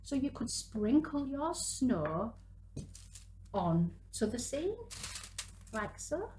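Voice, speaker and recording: A woman's voice: fairly high pitch, big pitch swings, slow pace, darkish tone, slight background noise. A woman in her thirties chatting instructively through a hands-on activity for parents and young children, working as she talks. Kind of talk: casual craft demonstration for parents and young children.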